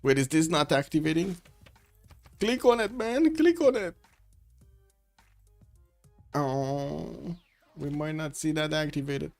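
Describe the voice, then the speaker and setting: A man's voice: a little high, lively intonation, takes it slowly, gravelly, long pauses, faint music behind. A man hosting a livestream, giving rambling live commentary on a strategy game match and greeting chat viewers. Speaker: stream host and caster.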